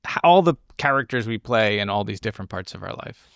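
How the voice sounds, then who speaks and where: A man's voice: fairly low pitch, animated, quick pace, vocal fry, clear, resonant tone, dry recording, clean studio sound. A man co-hosting a film podcast in casual chat, enthusiastic and rambling in a stop-start way. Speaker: podcast co-host.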